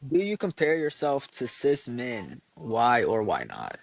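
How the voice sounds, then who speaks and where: Animated young podcast host. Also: male voice, mid-range voice, creaky. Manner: animated, quick to laugh. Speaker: podcast host reading questions. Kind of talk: casual chat.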